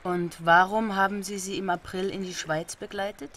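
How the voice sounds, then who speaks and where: An actress playing a sober, persistent female investigator in a German crime radio drama, with a deliberate, questioning manner. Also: medium pitch, fairly even intonation, a bit bright, slight background noise, lightly acted.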